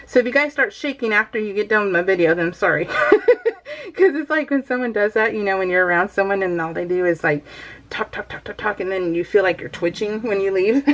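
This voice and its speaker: A middle-aged woman with an American accent chats casually to her viewers. Her voice sits at an ordinary pitch for a woman. She rambles in a giggly, self-deprecating way, like someone hosting a craft vlog from home. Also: poor audio. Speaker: craft video host.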